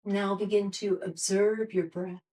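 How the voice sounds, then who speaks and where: A woman leads a guided meditation as a yoga teacher. She speaks very slowly and soothingly, with gentle, unhurried instructions at an average pitch. Her voice sits a little away from the microphone. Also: flat delivery, distant mic.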